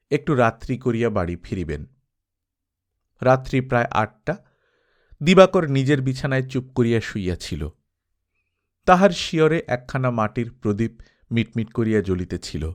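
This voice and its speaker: A man reading scripted literary narration as an audiobook storyteller. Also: medium pitch.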